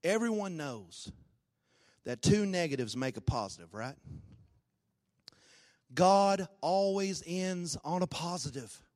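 A man preaching a sermon with a hoarse, raspy voice, swinging between low talk and shouted, sung-out peaks. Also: expressive, slow pace, long pauses. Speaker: pastor. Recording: long hall reverb.

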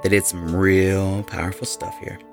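An actor playing a calm, occult-minded rock musician who speaks earnestly. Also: male voice, deep voice, normal speed, soft background music.

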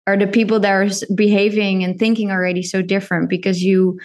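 A woman with a light Dutch accent hosts the conversation in a chatty, friendly way. Her voice sits at a medium pitch, and she talks loosely with casual fillers. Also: little pitch movement, fast, a little mellow. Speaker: podcast host.

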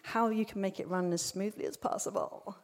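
A woman's voice: medium pitch, steady tone, fairly quick. A woman hosting a live question-and-answer session in a jokey, giggly, informal way. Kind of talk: casual live event hosting. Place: live event room at a festival. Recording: echoey hall, long reverb.

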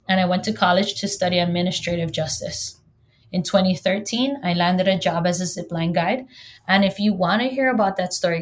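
A woman from Hawaii whose first language is Hawaiian, in her thirties, hosting a solo podcast in a chatty, joking way and talking straight to her listeners. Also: on the low side. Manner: chatty and humorous, addresses listeners directly. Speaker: podcast host.

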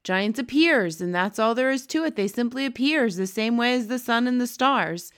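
A woman's voice: a little high, expressive, moderate pace, voice pushed up. A woman voicing a very old, kindly male giant who talks with mangled grammar and made-up words. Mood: emphatic.

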